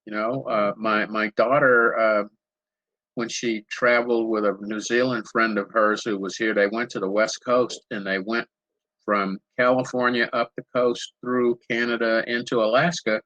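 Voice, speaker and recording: Older male moderator on a video call: bright, dry sound, unhurried storytelling with restarts. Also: fairly low pitch, little pitch movement, dry recording, rough recording. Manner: unhurried, anecdotal, repeats words as he searches. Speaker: panel moderator. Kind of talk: conversational panel answer.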